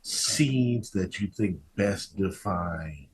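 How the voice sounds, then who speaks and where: A man's voice: low-pitched, lively intonation, drawn-out words. A man casually interviewing a guest as an informal, encouraging podcast host.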